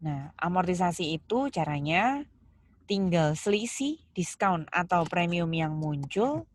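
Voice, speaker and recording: A woman's voice: fairly low pitch, animated, measured pace. A woman giving a step-by-step accounting lesson, didactic, posing questions and stressing key terms. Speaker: accounting lecturer. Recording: clear background noise, keyboard clicks.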